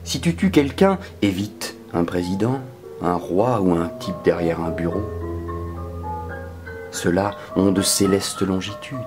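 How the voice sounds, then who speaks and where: A man performing a dramatic spoken-word poetry reading in a solemn, measured manner that can sink toward a whisper. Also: sits low, expressive, music in the background. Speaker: poetry reader.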